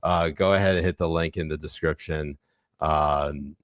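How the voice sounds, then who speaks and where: A man co-hosting a political podcast, his voice very low and deep. He talks in a wry, profane way, stumbling through fillers and restarts. The sound is dry and close. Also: fairly even intonation, moderate pace, fairly bright tone, no echo. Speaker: podcast co-host. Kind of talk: casual political podcast chat.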